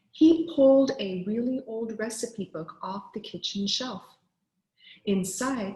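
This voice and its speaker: A woman teacher reading aloud to children in an expressive storybook style, with deliberate, clearly phrased delivery. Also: medium pitch, roomy sound, rough recording.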